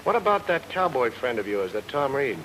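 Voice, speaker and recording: A man's voice: mid-range voice, very animated, a little fast, natural voice. An actor playing a tough, cool-headed male detective with a clipped, commanding delivery in an old radio noir drama. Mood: curt, probing. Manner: clipped, hard-boiled, commanding. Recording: noisy old radio recording.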